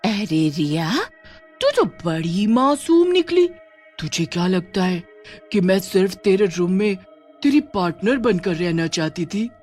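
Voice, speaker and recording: A woman acts out a possessive, menacing young ghost witch from a cartoon horror tale. She draws her words out slowly and tauntingly. Music plays underneath. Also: mid-range voice, expressive, darkish tone, lightly acted. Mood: gloating. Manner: slow, drawn-out, taunting with gloating laughs. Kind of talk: Hindi cartoon horror story acting.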